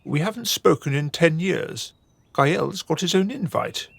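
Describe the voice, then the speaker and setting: A man's voice: a little high, animated, unhurried, vocal fry, slightly rough, dry recording, heavily acted. A man playing a weary older husband in a radio drama, speaking in a dry, mildly sarcastic way. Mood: wry, placating. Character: weary, dry, long-suffering older Venetian husband and father.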